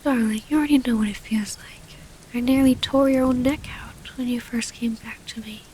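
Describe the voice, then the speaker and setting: A woman playing a devoted, gently predatory vampire lover in a soothing, breathy half-whisper for an intimate ASMR roleplay. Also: on the high side, fairly even intonation, normal speed, close to an everyday voice, poor audio. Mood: tender. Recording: close-up with rain ambience, faint noise.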